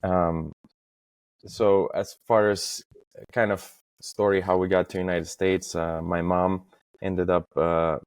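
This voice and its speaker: Low-voiced man, very even in pitch. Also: takes it slowly, no echo. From Estonia. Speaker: podcast guest, facade industry professional. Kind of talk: casual interview, recounting career.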